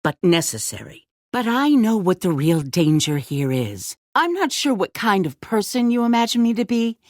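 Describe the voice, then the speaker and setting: An actress playing a calculating, self-righteous leader of a survivor community in a video game, speaking in a measured, condescending, politician-like way. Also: female voice, medium pitch, sing-song, dry recording, studio quality, close to an everyday voice. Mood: composed, authoritative. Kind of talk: dramatic video game voice acting.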